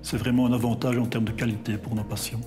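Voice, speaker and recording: A man's voice: mid-range voice, flat delivery, fast. A man speaking as an institution's representative in a corporate testimonial. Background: background music.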